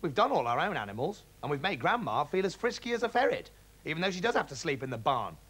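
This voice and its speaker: A man's voice: on the high side, very animated, fast talker, fairly bright tone, breathy edge, exaggerated voice. A man voicing a sensible, cautious young boy who asks earnest questions.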